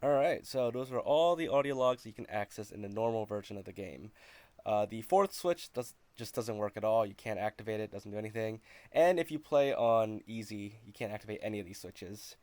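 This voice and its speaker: A man's voice: fairly low pitch, brisk, a little mellow, dry recording. A man narrating a video game walkthrough in a casual, conversational, explanatory way.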